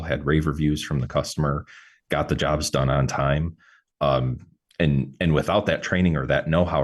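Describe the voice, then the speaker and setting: Guy with a super low voice, chatting casual, lots of uh and restarts. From New York State. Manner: halting, many restarts and fillers. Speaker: podcast host, mover. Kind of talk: casual interview chat.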